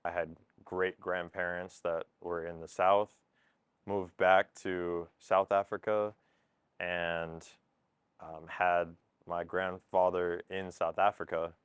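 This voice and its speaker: A young man who is a student, calmly and reflectively telling his family background in an interview. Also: low voice, slow, smooth, no echo. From California. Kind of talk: interview answer.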